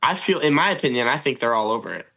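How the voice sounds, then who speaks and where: A man's voice: medium pitch, expressive, fast talker, gravelly, sharp tone. A man talking casually as a guest on a sports podcast.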